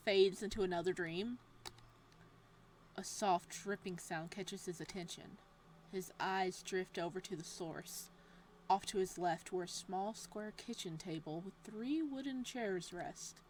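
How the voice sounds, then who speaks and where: A woman reading a horror visual novel's text aloud in a hushed, steady voice. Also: medium pitch, slight background noise, low-quality recording.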